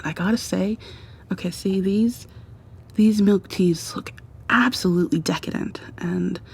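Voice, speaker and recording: A woman playing an affectionate, playfully dominant girlfriend in an intimate ASMR roleplay, murmuring softly and breathily to the listener, often sliding into whispers. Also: husky, full character voice. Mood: tender, doting. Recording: audible background hiss with low rumble.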